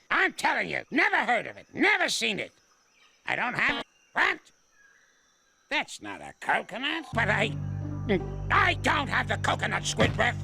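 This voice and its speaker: Grouchy old cartoon ape, gravelly, high and wildly swooping, boastful, insult-slinging. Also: male voice, bright, forceful tone, heavily acted. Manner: blustering, hurls goofy insults, mutters to himself. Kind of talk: cartoon voice acting. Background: cartoon music and sound effects.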